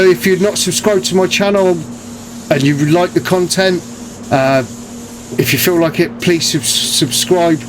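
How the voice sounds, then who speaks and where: A man with a cold, sounding bunged up and croaky, talking casually to camera about his paint spraying work with many ums and restarts. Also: a little high, noisy background. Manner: relaxed, hesitant, many fillers and restarts. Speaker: paint sprayer, channel host.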